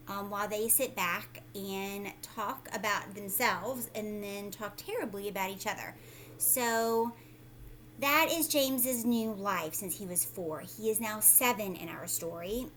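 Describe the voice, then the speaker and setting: Expressive woman teacher reading aloud. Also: mid-range voice, a little hiss or hum, low-quality recording. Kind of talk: children's read-aloud with explanatory asides.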